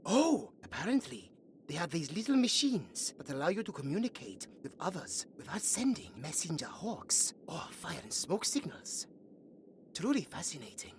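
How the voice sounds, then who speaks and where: A woman playing a young, earnest and chatty elven guard in a fantasy audio roleplay, musing aloud in a soft, hesitant way. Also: low voice, very animated, moderate pace, slight background noise. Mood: friendly, curious.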